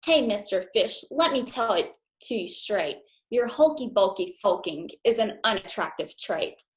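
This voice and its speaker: A woman's voice: medium pitch, animated, a little slow, natural voice, poor audio. A woman reading the lines of a blunt, straight-talking male octopus in a rhyming picture book.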